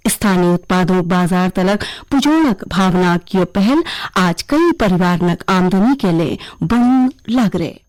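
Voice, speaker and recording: A woman's voice: fairly low pitch, expressive, a little mellow, no echo. Kumaoni woman reading radio news, dry studio sound. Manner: formal, measured reading. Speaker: regional radio news reader. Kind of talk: scripted news bulletin.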